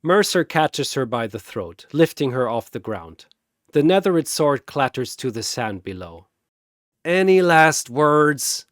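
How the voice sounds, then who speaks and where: Man narrating a battle story, lively pitch. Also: moderate pace, no echo, studio quality. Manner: vivid, play-by-play battle telling. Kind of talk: dramatic scripted narration.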